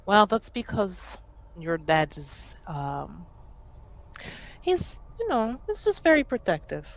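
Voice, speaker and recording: A woman's voice: mid-range voice, wildly swooping pitch, slow, full character voice, rough recording. A woman playing a wry, fond adult relative who teases a child in a tabletop game.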